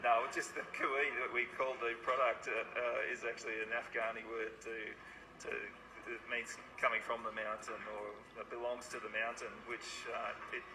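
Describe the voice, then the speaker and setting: A man explains a community sewing project at an easy pace, filling his sentences with ums and uhs. His pitch stays flat and even. The echoey workshop room and background noise come through on the recording. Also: moderate pace. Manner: relaxed, filler-heavy explaining. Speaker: small business owner.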